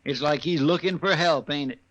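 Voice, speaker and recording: An actor playing a folksy, loyal marshal's sidekick with a rural American accent in a western radio drama. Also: male voice, on the high side, fairly quick, a bit bright, lo-fi sound, close to an everyday voice. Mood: curious, earnest.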